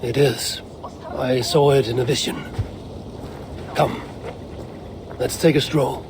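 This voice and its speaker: An actor playing a determined, persuasive god in a video game, speaking in a measured, formal, firm way. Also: male voice, medium pitch, steady tone. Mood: calm, coaxing. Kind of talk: video game cutscene acting. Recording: game audio under noisy ambience.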